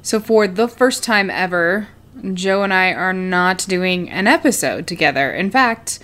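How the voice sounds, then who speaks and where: A woman's voice: fairly low pitch, expressive, measured pace, a bit bright. A woman co-hosting a podcast from her apartment, chatting casually with many fillers and frequent laughs. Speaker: podcast co-host. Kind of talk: casual chat. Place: home apartment. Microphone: shared desk mic moved between speakers.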